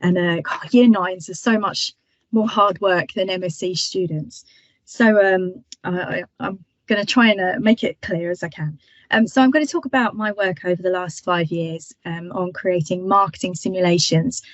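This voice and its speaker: Husky-voiced woman lecturer, chatty. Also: on the low side, normal speed, no echo, dull audio. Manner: chatty, frequent ums and restarts. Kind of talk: webinar talk.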